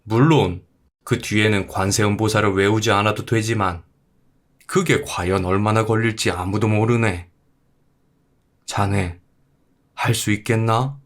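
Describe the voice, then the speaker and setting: Storybook role: solemn Buddhist monk acted by a man, lowish pitch, measured and sage. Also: steady tone, close to an everyday voice. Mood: grave advising. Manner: measured, sage-like, old-fashioned speech. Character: solemn, knowing Buddhist monk giving grave advice.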